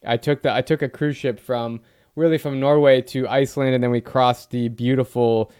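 A male podcast host chats casually with his guest, enthusiastic and a bit rambling as he tells his stories. His voice is smooth and resonant, with a mid-range pitch. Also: fairly even intonation, normal speed, darkish tone. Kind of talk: casual interview chat.

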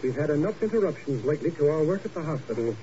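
An actor playing a polite, reluctant hospital doctor with a formal manner in a 1950s radio drama. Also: male voice, fairly high pitch, expressive, very fast, warm tone, lightly acted. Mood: sceptical. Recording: muffled, hissy old radio recording.

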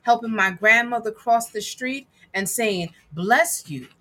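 A woman reading a picture book aloud with emphatic, dramatic phrasing and deliberate pauses. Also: on the high side, sharp tone. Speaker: guest reader and producer.

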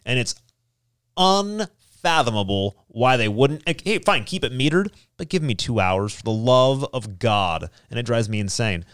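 An American man talks casually in a group chat with a very lively, swinging pitch. He gripes in an exasperated, slangy way, and the sound is dry and close. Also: unhurried, clean tone, no room sound. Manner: animated, exasperated griping with mild slang. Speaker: talk show panelist.

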